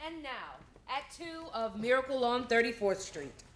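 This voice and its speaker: A woman's voice: a little high, expressive, moderate pace, roomy sound, a little hiss or hum. A woman narrating and announcing a radio play in a scripted style.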